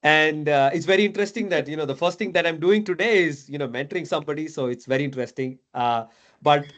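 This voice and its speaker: A man's voice: fairly high pitch, quick pace, a bit bright. A man in his thirties, raised in Dubai, talking casually as a mentor, chatty, with many fillers and tag questions. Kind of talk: casual video call chat.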